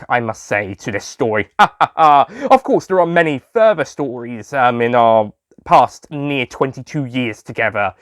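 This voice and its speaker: A man's voice: mid-range voice, fairly bright tone, smooth, no room sound, clean studio sound. A man playing a jolly, sentimental male sweet-shop owner who tells his own story in a fond, theatrical manner for an audio drama.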